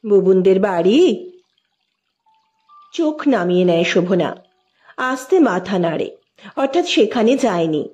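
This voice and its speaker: A woman's voice: mid-range voice, sing-song, normal speed, a little mellow, highs cut off, faint music behind. A woman reading literary fiction aloud as a scripted, dramatic audio story narration.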